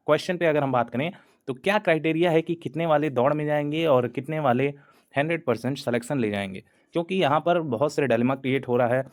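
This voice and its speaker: A man hosting an exam-news channel, talking in a loose explainer style with frequent hesitations and English words mixed in. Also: medium pitch. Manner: repetitive, hesitant fillers, Hindi-English mix. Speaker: exam coaching channel host. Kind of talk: explainer talk to camera.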